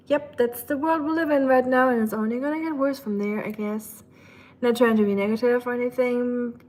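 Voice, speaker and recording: Higher-voiced German-accented woman streamer. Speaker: livestream host. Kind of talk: casual livestream chat with viewers. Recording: clear background ambient noise.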